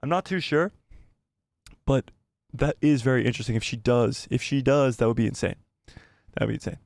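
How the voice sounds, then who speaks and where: A man hosting a live stream, chatting casually and reading out viewer comments. His voice is going hoarse and he rambles and repeats himself as he thinks aloud. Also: mid-range voice, moderate pace, no echo. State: losing his voice, coughing. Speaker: livestream host.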